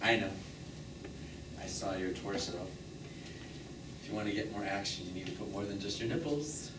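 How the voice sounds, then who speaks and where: A man's voice: lowish voice, moderate pace, close to an everyday voice. A man acting a defensive, jealous lover in stage drama dialogue, terse, blunt and emotionally volatile. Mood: guarded. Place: theatre stage, recorded from the audience distance. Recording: echoey, distant, noisy live theatre recording.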